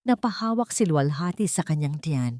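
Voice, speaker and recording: A woman with a fairly low voice narrates a scripted story in a dramatic storyteller's style. The recording is dry, with hardly any room sound. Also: lively intonation, brisk, warm tone, no room sound.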